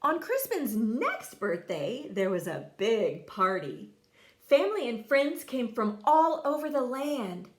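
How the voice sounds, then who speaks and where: A woman reading a children's story expressively, with theatrical delivery and dramatic pauses. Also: a little high, very animated. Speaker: storybook reader.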